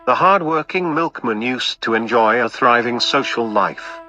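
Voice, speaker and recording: A grandiose narrator played by a man in an audio play. He speaks at a middling pitch with florid, pompous delivery, while music plays clearly behind him. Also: expressive, unhurried, pushed and bright, dull audio. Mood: mock-grand. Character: grandiose melodramatic male narrator of a milkman's love life. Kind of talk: scripted narration.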